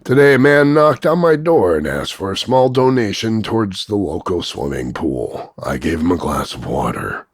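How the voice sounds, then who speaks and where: A man voicing a cocky, crude macho action hero, gravelly and husky, delivering smug deadpan one-liners in a slow drawl of punchlines. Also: sits low, no room sound, clean studio sound, exaggerated voice. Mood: deadpan, mocking. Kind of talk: voice-over demo of insults and one-liners.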